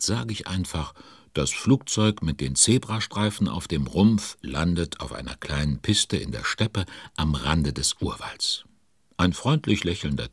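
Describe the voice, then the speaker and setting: A man narrating a children's audio story as a genial, chatty storyteller with a husky voice. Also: very deep voice, no echo, exaggerated voice. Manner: chatty, sympathetic, commenting. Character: genial, involved male storyteller.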